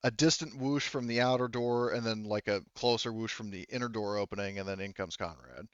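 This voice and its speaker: A man running a tabletop roleplaying game as game master, narrating off the cuff in a halting, rambling, self-correcting way. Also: on the low side, clear, resonant tone, no echo, highs cut off. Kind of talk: improvised game narration, casual chat.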